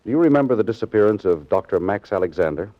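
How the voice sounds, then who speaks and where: Low-voiced, relentless insurance investigator, played by a man. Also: lively intonation, no echo, close to an everyday voice. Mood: cold, probing. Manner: clipped, deliberate, grave, self-assured. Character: cold, relentless, energetic chief insurance investigator. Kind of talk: 1940s radio drama acting. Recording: dull, dry vintage 1940s radio recording.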